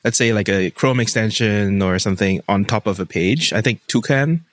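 A man from Singapore with a light Singaporean English accent, hosting an interview with quick, joking asides. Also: fairly low pitch, dry recording, clean studio sound. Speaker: podcast host. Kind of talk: casual interview questions.

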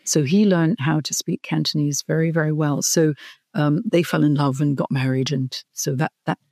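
A woman's voice: deep voice, fairly even intonation, moderate pace, darkish tone, no room sound, clean studio sound. A middle-aged woman from Hong Kong, a career coach, telling her life story in an interview, earnest and reflective, with small restarts.

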